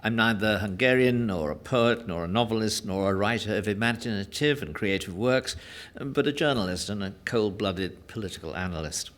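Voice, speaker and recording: A man giving a formal, courteous speech as a guest, self-deprecating and halting, with frequent hesitations. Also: on the low side, fairly bright tone. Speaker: guest speaker, journalist and political analyst. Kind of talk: formal speech at a book launch.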